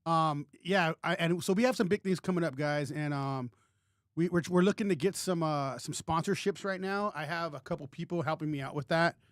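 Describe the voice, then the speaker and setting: A man's voice: fairly high pitch, brisk. A man co-hosting a podcast in casual chat, rambling and joking with many restarts. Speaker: podcast co-host.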